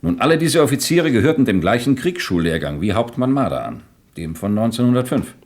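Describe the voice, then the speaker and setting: A man's voice: medium pitch, big pitch swings, brisk, close to an everyday voice. A man playing a proud, disbelieving army major in a radio crime drama, formal and indignant on behalf of his officers. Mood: sceptical. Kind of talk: German radio play dialogue acting.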